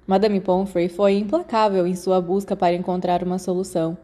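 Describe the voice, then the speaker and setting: Mellow Brazilian woman narrating fiction. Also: fairly low pitch, little pitch movement, a little fast. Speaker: podfic narrator. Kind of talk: scripted narration, fiction audiobook.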